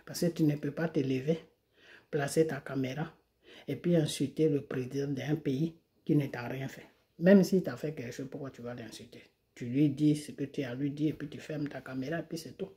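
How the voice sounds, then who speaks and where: A woman's voice: a bass voice, warm tone. A woman from Côte d'Ivoire, a video creator, delivering an indignant monologue to the camera and hammering home rhetorical questions. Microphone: phone or camera mic.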